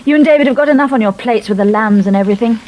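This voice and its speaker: Young English farmer's daughter, actress: self-reliant, brisk, higher voice, radio drama with faint ambient noise. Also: female voice, a little mellow, lightly acted. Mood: brisk, independent. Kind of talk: British radio soap acting.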